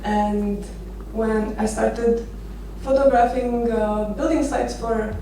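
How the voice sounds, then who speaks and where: A woman in her thirties from Romania gives an informal talk as a photographer, telling personal stories with hesitant, halting phrasing. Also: on the high side, fairly even intonation, mellow. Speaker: architecture photographer giving a conference talk. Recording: echoey noisy venue, distant microphone.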